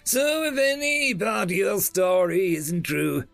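A man's voice: high voice, big pitch swings, slow pace, vocal fry, fairly bright tone, soft background music, pitched above the performer's own voice, heavily acted. A man voicing a smug, acid-tongued diplomatic attaché who sneers and threatens in an audiobook. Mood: suspicious. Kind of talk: audiobook character dialogue.

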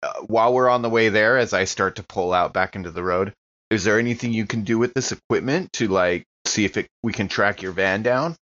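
Dull lo-fi mic, lowish guy gamer chatting and playing his character casually. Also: fairly quick, fairly bright tone. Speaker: player in a tabletop roleplaying game. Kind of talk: casual chat and in-character play. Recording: dull, lo-fi sound.

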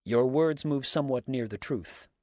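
A grave, unshakeable accuser from a storybook, read by a man. He is solemn and deliberate, with complete certainty, in a dry, close recording. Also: medium pitch, a little mellow, dry recording, close to an everyday voice. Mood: stern, probing. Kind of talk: dramatic storybook reading.

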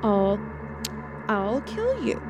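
A woman's voice: medium pitch, wildly swooping pitch, extremely slow, heavy background noise, full character voice. A woman playing a frightened young working woman held by a killer in a radio thriller, pleading, faltering and sometimes defiant, also narrating. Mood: shaky defiance. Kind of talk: classic radio thriller acting with first-person narration.

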